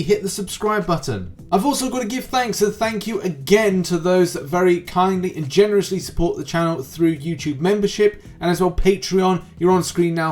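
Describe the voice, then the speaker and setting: A man hosting a gaming news show, giving wordy, rambling commentary in a presenter's style. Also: sits high. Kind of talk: YouTube gaming news commentary, semi-scripted. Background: background music.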